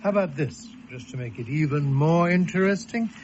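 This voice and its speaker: An actor playing a genteel, controlling naturalist in a radio drama, formal and precise. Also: male voice, on the high side, lively intonation, normal speed, darkish tone, close to an everyday voice. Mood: courteous, controlled. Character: genteel, controlling male naturalist, smoothly courteous. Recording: muffled audio, noisy ambience.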